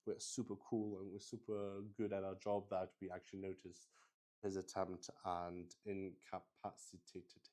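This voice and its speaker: A man's voice: low-pitched, little pitch movement, moderate pace, mellow. A man streaming a solo tabletop roleplaying game, explaining it casually to viewers in a hesitant, rambling way.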